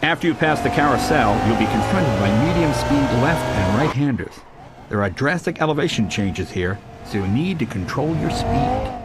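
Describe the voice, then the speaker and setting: A man narrating scripted driving instructions for a racing game in a measured, instructive manner. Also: mid-range voice, very noisy. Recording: loud music over the voice. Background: loud music, car engine sounds.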